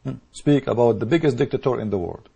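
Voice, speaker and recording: Male commentator, English, dark mellow husky voice, heated monologue with repeated restarts. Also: medium pitch, quick pace, highs cut off. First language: Arabic. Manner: rhetorical questions, repetitive restarts, emphatic. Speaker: political commentator. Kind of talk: impassioned monologue to camera.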